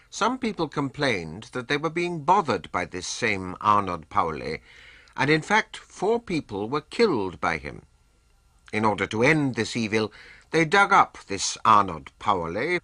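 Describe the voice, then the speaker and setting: A man reads an old report aloud with expressive, animated delivery. His voice is bright and forceful, recorded close and dry. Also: mid-range voice, no echo. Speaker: reader. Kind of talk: reading a historical report.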